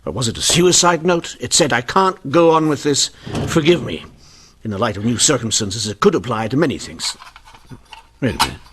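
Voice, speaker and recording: An actor playing a commanding Victorian police inspector in an old-time radio drama, clipped, dry and authoritative. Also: male voice, medium pitch, animated, normal speed, fairly bright tone, husky. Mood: brooding, deductive. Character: brisk, commanding male police inspector in 1895.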